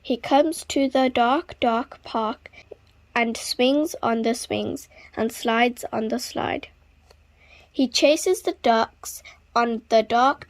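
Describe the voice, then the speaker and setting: A woman reading a children's story aloud expressively, with deliberate, dramatic emphasis on repeated words. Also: a little high. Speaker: children's book reader.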